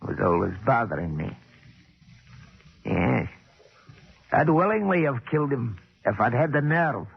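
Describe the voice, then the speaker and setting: An actor playing a nervous, cryptic old man in a 1940s radio drama, with a creaky, husky, halting voice. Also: medium pitch, very animated, lo-fi sound, exaggerated voice. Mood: fearful, defensive. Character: nervous, cryptic old man, working-class club employee.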